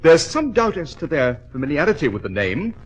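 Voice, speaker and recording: An actor playing a cool, self-assured English gentleman detective in an old radio drama, formal and dryly commanding. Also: a little high, very animated, brisk, slightly rough, rough recording. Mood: dry, satisfied. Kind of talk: 1940s radio drama acting. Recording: vintage 1940s radio broadcast.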